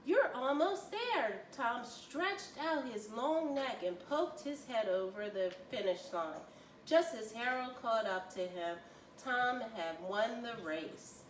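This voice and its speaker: A woman reading a children's story aloud with expressive, deliberate storytelling. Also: a little high. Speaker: volunteer children's story reader. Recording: roomy, distant mic, faint noise.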